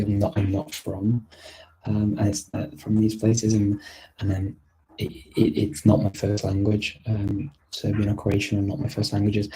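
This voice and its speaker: A man's voice: fairly low pitch, dark tone, slight background noise, poor audio. A man hosting a live question-and-answer chat, talking casually with many fillers and restarts. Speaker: host answering viewer questions. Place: at home, holding up notebooks.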